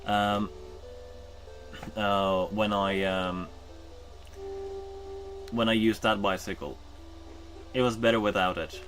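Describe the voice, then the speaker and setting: A man's voice: on the low side, fairly even intonation, slow, long pauses, a bit bright, soft background music. A Norwegian man chatting casually while streaming a game, rambling with many ums and uhs and correcting himself. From Norway. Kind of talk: casual chat while gaming.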